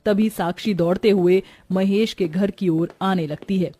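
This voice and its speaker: A woman's voice: mid-range voice, steady tone, unhurried, warm tone, faint music behind. A woman reading scripted storytelling narration for a cartoon moral tale.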